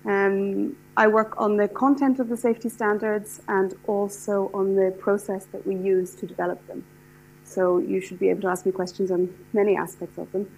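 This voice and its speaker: A middle-aged woman from Ireland with an Irish accent, lecturing a class in a hall, talking fast and eagerly with many fillers and restarts. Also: medium pitch, flat delivery, a little mellow, slight background noise. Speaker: lecturer, standards specialist. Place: lecture hall with seated audience.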